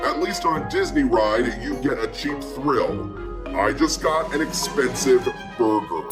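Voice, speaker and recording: A man performs a dramatic reading of a complaint. He sounds theatrical and indignant, and music plays clearly behind his voice. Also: mid-range voice, lively intonation, unhurried. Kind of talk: dramatic reading of an angry review.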